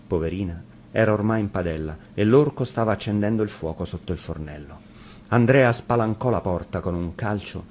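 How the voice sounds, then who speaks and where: A man reads a fairy tale as a storyteller. His voice is on the low side and his delivery is dramatic, with faint noise behind him. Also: a little mellow. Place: radio studio.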